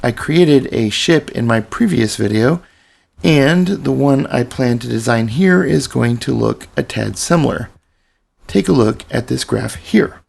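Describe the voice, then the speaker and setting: A man explaining a programming tool step by step in a relaxed way, his voice slightly raspy. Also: mid-range voice, a little slow. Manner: relaxed explanatory walkthrough with casual asides. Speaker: software tutorial presenter. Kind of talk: screen-recorded programming tutorial.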